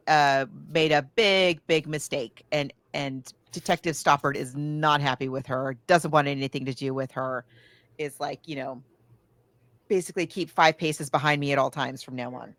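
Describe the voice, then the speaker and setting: A woman with a slightly low voice talks through a film in a casual podcast style. She is recorded dry, with little room sound. Her delivery is halting, circling back and thinking aloud as she retells the story. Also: a bit bright, no room sound. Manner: acts out characters' lines in casual asides. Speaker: podcast co-host. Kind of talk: casual film recap chat.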